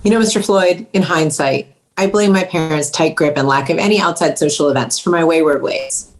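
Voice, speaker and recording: A woman's voice: low voice, brisk, slight background noise, natural voice, poor audio. A woman playing a witty, sarcastic sixteen-year-old girl at a script table read, dry and flippant. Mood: cheeky.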